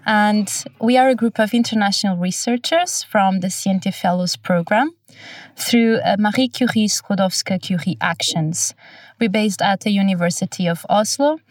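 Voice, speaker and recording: A young woman from Portugal hosts a relaxed podcast chat, friendly and halting, filling pauses as she goes. She sits a little back from the microphone, and the studio sound is dry with no room echo. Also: mid-range voice, far from the mic. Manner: hesitant, friendly, many fillers. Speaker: podcast host. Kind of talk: casual chat.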